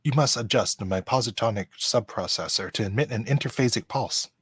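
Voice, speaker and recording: A man playing a polite, earnest android officer in a fan radio play, speaking with formal, deliberate diction. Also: on the low side, a bit bright, husky, no room sound, clean studio sound. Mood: earnest, explaining.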